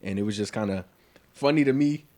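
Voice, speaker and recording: A man in his twenties chatting on a podcast in a relaxed way, picking up speed when he explains something. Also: on the low side, fairly quick. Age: 20s. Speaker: podcast co-host. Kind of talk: casual chat.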